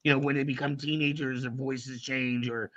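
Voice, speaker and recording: A man's voice: medium pitch, flat delivery, normal speed, a bit bright, no room sound, muffled recording. A man hosting a podcast, asking rambling, eager questions with many fillers. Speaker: podcast host. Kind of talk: casual interview chat.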